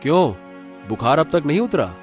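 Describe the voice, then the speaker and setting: Working father for cartoon male actor: fairly high voice, dismissive, evasive, light music bed. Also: sing-song, moderate pace. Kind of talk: Hindi cartoon moral story acting.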